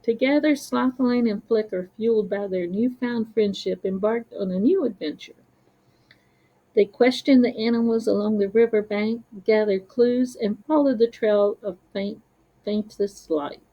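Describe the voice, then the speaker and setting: A woman narrating a children's story as a read-aloud, careful and expressive. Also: fairly high pitch, a little slow, lo-fi sound.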